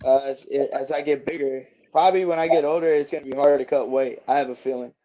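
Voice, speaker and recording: A man's voice: mid-range voice, steady tone, fast talker, dark tone, highs cut off. A man in his twenties, a boxer guest, chatting casually in an easygoing, rambling way with lots of fillers. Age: 20s. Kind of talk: casual interview chat.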